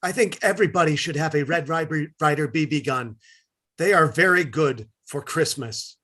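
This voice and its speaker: A man's voice: on the high side, moderate pace, bright, forceful tone. A man playing an earnest schoolboy with simple, childlike phrasing in a readers theatre performance. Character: earnest schoolboy reciting and dodging his mother.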